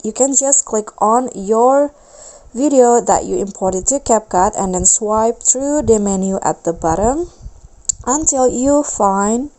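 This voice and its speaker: Mellow woman hosting a tutorial. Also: fairly high pitch, takes it slowly, low-quality recording. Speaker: tutorial host. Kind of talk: casual video app tutorial narration.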